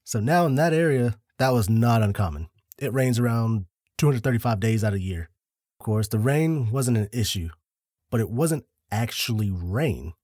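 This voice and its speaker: A man co-hosting a podcast, telling a story in a laid-back, deliberate way with dry jokes, a little short of breath. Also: mid-range voice, darkish tone, dry recording. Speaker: podcast co-host.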